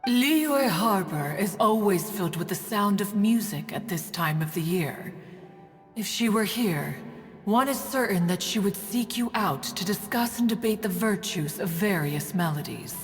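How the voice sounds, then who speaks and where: A woman's voice: mid-range voice, expressive, measured pace, long reverb tail, faint music behind, natural voice. A woman playing a proud, refined immortal crane spirit in a video game, speaking in a stately, formal manner. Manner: stately, formal, calls herself "one".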